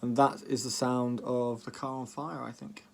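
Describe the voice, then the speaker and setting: A man's voice: mid-range voice, level tone, normal speed, vocal fry. A man giving casual spoken commentary as a music reaction vlogger, with a hesitant, rambling delivery.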